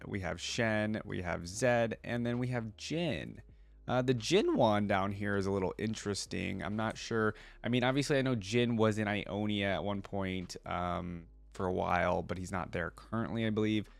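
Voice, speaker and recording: A man with a fairly low voice, recorded close and dry. He talks casually as a reaction host, switching between reading text aloud and explaining it in his own words. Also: gravelly, no room sound.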